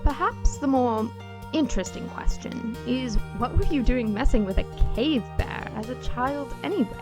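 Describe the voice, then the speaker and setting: A woman's voice: fairly high pitch, animated, normal speed, natural voice. A woman playing a haughty, prim young noblewoman with a condescending, sarcastic manner in an audio drama. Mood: needling. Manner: condescending, drawling, prissy. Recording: music behind the voice.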